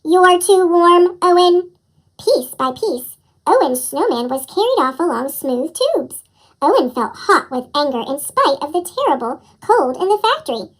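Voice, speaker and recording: A narrator reading a children's picture book aloud in an animated way, with a squeaky, childlike chipmunk voice effect. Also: moderate pace, low-quality recording. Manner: animated storytelling. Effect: chipmunk voice pitch-up effect. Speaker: storybook narrator.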